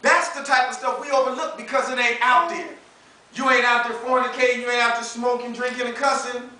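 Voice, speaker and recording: An American man in his thirties preaches with a very high, bright voice. His delivery is emphatic and conversational, leaning on everyday slang and rhetorical questions. The recording is heavily echoed, as if the mic sits some way off in a large room. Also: flat delivery, fairly quick. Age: 30s. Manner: emphatic, colloquial, uses rhetorical questions and acted-out dialogue. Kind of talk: animated, conversational sermon. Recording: echoey room, distant mic, faint noise.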